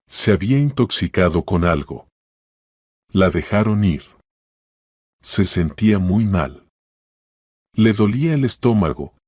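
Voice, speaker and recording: A man's voice: sits low, darkish tone, dry recording, clean studio sound. A man reading a scripted story as a narrator.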